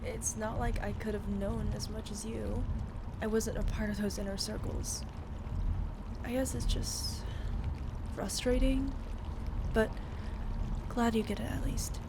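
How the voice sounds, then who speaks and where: Casting: actress for frank, caring young woman, slightly high voice, casually coaxing, audio drama with clear background noise. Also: fairly even intonation, measured pace, long pauses. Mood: frustrated. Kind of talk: audio roleplay drama acting.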